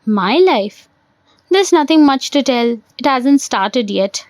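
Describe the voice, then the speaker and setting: An earnest young suitor in an audio play, read by a woman, deferential and curious. The voice is fairly high and takes its time, asking politely, in a dry recording. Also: animated, slow, no room sound. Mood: earnest, modest. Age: young adult. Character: polite, curious young man, a prospective son-in-law.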